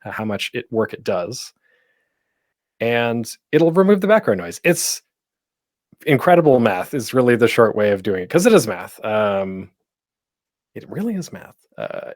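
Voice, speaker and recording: A man's voice: mid-range voice, dry recording, studio quality. A man presenting a tutorial in a casual, chatty way with light humor. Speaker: panel host giving a podcasting tutorial.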